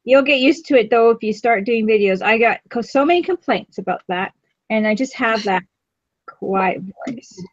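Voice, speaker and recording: A woman's voice: a little high, expressive, normal speed, dry recording, highs cut off. A woman co-hosting a craft stream, chatting casually and teasing herself as she paints. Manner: chatty, giggly, self-teasing. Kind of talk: casual chat while painting.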